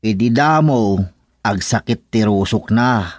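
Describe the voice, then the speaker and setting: A man's voice: on the low side, unhurried, no room sound, studio quality, lightly acted. A man playing a sheepish village councilor, hesitant and apologetic, in a rural radio comedy-drama. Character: sheepish village councilor making excuses to his superior.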